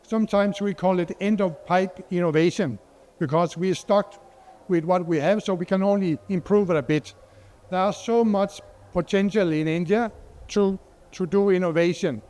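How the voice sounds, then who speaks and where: A man's voice: high voice, moderate pace, a little mellow. A middle-aged man from Denmark, a non-native English speaker, giving a conference presentation as a water expert, hesitant with many fillers and restarts. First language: Danish.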